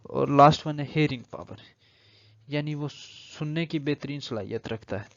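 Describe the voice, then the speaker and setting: A man's voice: fairly high pitch, moderate pace, vocal fry, no echo. Pakistani man lecturing, dull dry recording. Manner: code-switches into English legal terms. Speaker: law lecturer. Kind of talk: explanatory lecture, reading statute aloud then paraphrasing.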